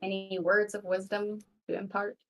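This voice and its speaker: A woman's voice: mid-range voice, little pitch movement, moderate pace, a crowd in the background, rough recording. A woman player in a tabletop roleplaying game, chatting casually in character with a dry, understated manner. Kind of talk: casual in-character improvised chat.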